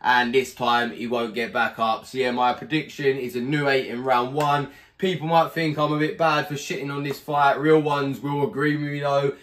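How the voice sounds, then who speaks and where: A hoarse young man hosting a boxing channel, giving a blunt, animated fight preview to camera from a small home room, swearing freely. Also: medium pitch, normal speed, hardly any pauses. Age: young adult.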